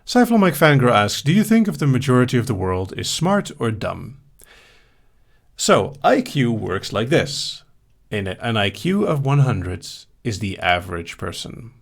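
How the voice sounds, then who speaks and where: A man answers questions from a live chat in a calm, measured way, with dry humor. His voice sits low and has a gravelly edge. His pitch moves around a lot as he talks. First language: Dutch.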